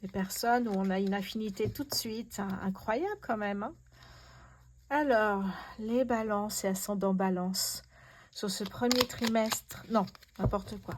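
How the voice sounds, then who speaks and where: A woman's voice: mid-range voice, measured pace, noisy background. Effusive woman reading tarot cards. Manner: effusive, awestruck, repeats herself in wonder. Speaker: host, tarot card reader. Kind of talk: casual tarot reading addressed to viewers.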